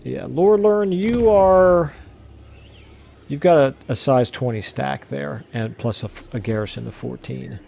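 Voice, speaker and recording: A man commentating his strategy game play casually, thinking aloud with fillers, his voice going hoarse. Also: mid-range voice, unhurried, dark tone, a little hiss or hum. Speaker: let's play host.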